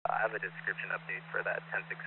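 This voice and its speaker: A man's voice: low voice, little pitch movement, quick pace. A man working as a patrol officer, reporting in a matter-of-fact procedural way over a police two-way radio. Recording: very noisy, phone-like police scanner, distant.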